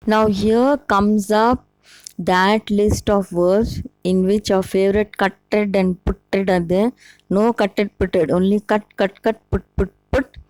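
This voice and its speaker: A woman with an Indian accent from India, giving a slow, deliberate English grammar lesson as a teacher. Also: medium pitch, fairly even intonation, a little mellow, low-quality recording. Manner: slow, deliberate teaching delivery, switching into Hindi. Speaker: language teacher.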